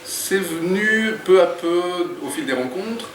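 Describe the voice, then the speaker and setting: A man's voice: high-pitched, expressive, measured pace, a little hiss or hum. A man, an author, talking in an interview and reading from his book, thoughtful and a little hesitant. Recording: roomy echo, distant mic.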